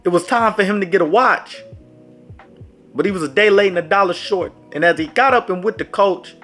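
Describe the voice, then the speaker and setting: A man's voice: sits high, a little fast. A man telling a dramatic sports story in a casual storytime vlog, talking straight to his viewers. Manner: dramatic, animated, speaks to viewers directly. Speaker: storyteller vlogger. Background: faint background music.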